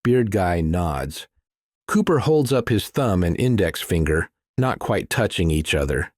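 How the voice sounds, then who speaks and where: Deep-voiced man narrating a thriller. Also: sing-song, unhurried, darkish tone, no room sound, studio quality. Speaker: audiobook narrator. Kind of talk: scripted thriller narration, present tense.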